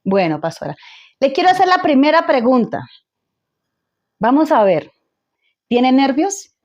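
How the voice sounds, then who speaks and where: Female pastor host, high voice, effusive, halting restarts. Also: big pitch swings, lo-fi sound. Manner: effusive, restarts and repeats words. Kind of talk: church talk show interview.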